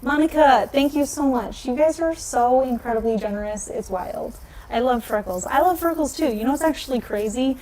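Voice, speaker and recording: A woman's voice: moderate pace, few pauses, darkish tone, a little hiss or hum, low-quality recording. A young woman chatting casually on a livestream, laughing often and answering viewer comments. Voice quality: low voice. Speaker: nail polish vlogger.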